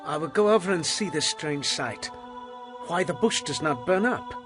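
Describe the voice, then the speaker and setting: A man's voice: on the high side, lively intonation, moderate pace, music in the background, natural voice. An actor playing an earnest, troubled Hebrew man in a dramatized scripture reading. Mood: wondering.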